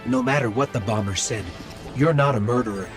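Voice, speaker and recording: A man's voice: medium pitch, a little fast, over music, natural voice. A man voicing a resolute, watchful companion guide in a video game, serious and purposeful. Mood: solemn. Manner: serious, purposeful, clipped tactical remarks. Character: resolute heroic male guide, grave and watchful.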